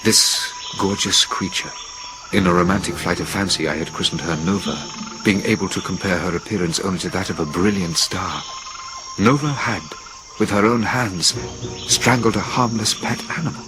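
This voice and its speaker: A man reading a first-person adventure story as a theatrical, suspenseful audiobook narrator, with measured, deliberate phrasing. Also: low voice, noisy background. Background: music under the voice.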